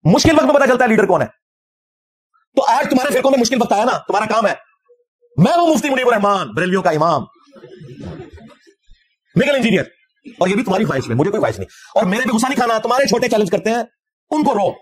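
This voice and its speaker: A man in his forties with a Pakistani Punjabi accent and a husky voice, giving a blunt, taunting, combative religious lecture. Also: high voice, sing-song, quick pace, long pauses. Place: indoor lecture set.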